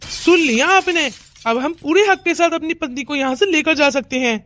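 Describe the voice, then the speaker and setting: A woman's voice: high voice, moderate pace, a bit bright, no echo. A woman voicing a loyal, assertive young husband in a melodramatic Hindi cartoon story. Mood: indignant, firm. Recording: music under the voice.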